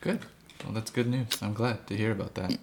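A man's voice: fairly low pitch, steady tone, brisk. A man in his twenties hosting a casual family interview as the interviewer. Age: 20s. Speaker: podcast host, interviewer. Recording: noticeable background noise.

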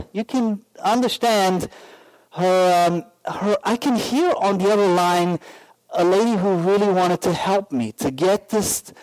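Slow, high-voiced man preaching. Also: a little mellow, low-quality recording. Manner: slow, earnest storytelling with many pauses and fillers. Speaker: pastor. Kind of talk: sermon with a personal story.

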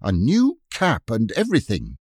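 A man voicing an angry housemaid scolding the children in a shrill, sharp voice. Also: huge pitch swings, normal speed, breathy edge, no room sound, clean studio sound.

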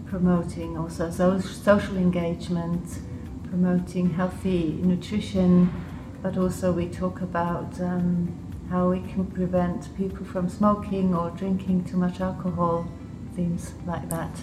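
A woman's voice: on the low side, little pitch movement, a little slow, background noise. A woman with an English accent, from Switzerland and Liechtenstein, giving unscripted interview answers as a guest in a thoughtful, hesitant way. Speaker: interview guest, public health official. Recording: roomy echo, music behind. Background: music.

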